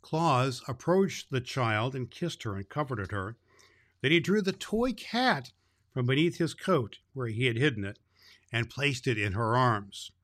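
A man's voice: mid-range voice, sing-song, a little slow, a bit bright, dry recording, clean studio sound. A man reading a children's story aloud as a storyteller, expressively, with small stumbles over the words.

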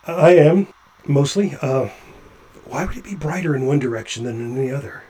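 An actor playing a nervous, chatty male doctor climbing along a ship's hull, halting with stammering restarts. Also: on the high side, warm tone, a little hiss or hum, lightly acted. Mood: anxious. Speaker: physician on a spacewalk. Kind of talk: audio drama acting.

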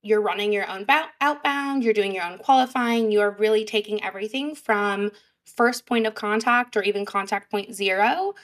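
A woman guest on a podcast, high energy and chatty, with a fairly high voice and lots of fillers. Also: moderate pace, a bit bright. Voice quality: higher pitched voice. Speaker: sales representative guest. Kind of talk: casual podcast interview.

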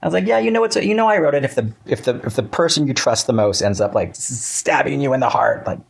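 A man being interviewed as a writer, chatting casually in a wry, halting way with self-interruptions and acted-out asides. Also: medium pitch, expressive, vocal fry, husky.

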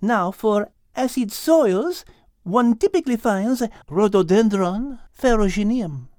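Elegant high-pitched French lady cat. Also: lively intonation, slow, dark tone, dry recording, clean studio sound. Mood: enthusiastic teaching. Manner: precise, enthusiastic explaining. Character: elegant female French cat, clever, botany-loving teacher. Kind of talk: children's audiobook character voice.

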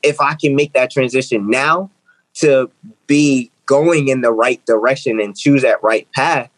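An earnest young man in his twenties talks through his ideas in an interview. He often restarts and repeats phrases as he builds toward a point. His voice is close and dry, with a middling pitch. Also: unhurried, dry recording, low-quality recording. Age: 20s. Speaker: guest, author and speaker. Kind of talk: interview conversation.

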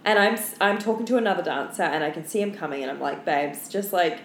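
A woman's voice: fairly low pitch, animated, fast. Candid young woman in an echoey room. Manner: candid, uses lots of "like" as filler. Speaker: podcast co-host. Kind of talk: casual, sweary chat and storytelling. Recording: roomy echo, faint background noise.

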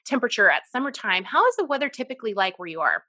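Podcast host, woman, Central Valley, California; bright, upbeat, dry close mic. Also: on the high side, big pitch swings, racing, no echo. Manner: upbeat, encouraging, asks questions warmly. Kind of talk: casual interview chat.

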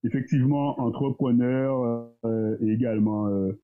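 Guy in his 30s, sounds like a phone call, mid pitch, thinks out loud with lots of euhs. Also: fairly even intonation. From Guadeloupe. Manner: thoughtful, hesitant, with many pauses and fillers. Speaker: guest, entrepreneur. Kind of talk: casual discussion. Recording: tinny phone-like line.

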